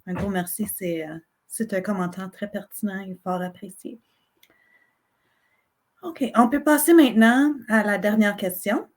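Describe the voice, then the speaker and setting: A woman moderating an online panel as a program director, polite and orderly, restating each question clearly for the panelists. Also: mid-range voice, a little mellow.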